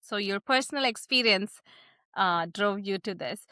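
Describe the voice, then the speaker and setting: A woman's voice: mid-range voice, moderate pace, bright, forceful tone, no room sound, studio quality. A woman from India hosting a podcast, with scripted introductions and interview questions. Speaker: podcast host.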